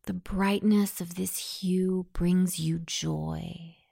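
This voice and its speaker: Slow, low-voiced woman guiding meditation. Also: steady tone, no echo, studio quality. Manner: soothing, softly breathy delivery. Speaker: hypnosis meditation guide. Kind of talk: scripted guided meditation.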